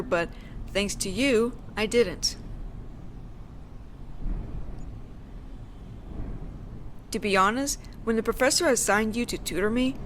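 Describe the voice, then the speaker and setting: A woman acting as a teenage tough girl from an audio drama, talking casually and gently to one listener. Her pitch moves in lively swings as she teases, then pauses thoughtfully. There is clear ambient noise behind her. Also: natural voice. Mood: sincere, hesitant. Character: teenage delinquent schoolgirl, teasing but softly caring tough girl. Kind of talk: slow intimate audio roleplay addressed to the listener.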